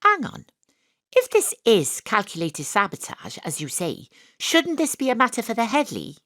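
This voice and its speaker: A woman's voice: fairly high pitch, huge pitch swings, moderate pace, fairly bright tone, dry recording, studio quality. An actress with a Welsh accent playing a wry, sporty amateur detective who narrates her own story with self-mocking humour. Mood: lively. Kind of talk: first-person radio drama narration. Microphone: home studio mic.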